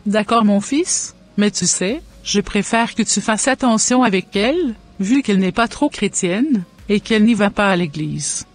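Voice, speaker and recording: A woman's voice: medium pitch, animated, a little slow, faint music behind. A woman voicing a cautious, pious mother of a grown son, admonishing in a scripted cartoon dub.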